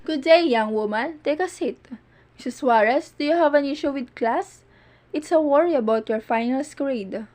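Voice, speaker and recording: A woman voicing a concerned, kindly schoolteacher in an amateur animated cartoon. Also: high-pitched, moderate pace, close to an everyday voice. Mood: formal, concerned. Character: concerned, kindly female schoolteacher advising a student.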